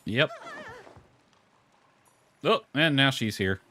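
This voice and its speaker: A man's voice: mid-range voice, lively intonation, drawn-out words. A man giving casual gameplay commentary, muttering to himself in a laid-back way and reading bits of game dialogue aloud. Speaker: gaming video creator. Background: soft lo-fi music.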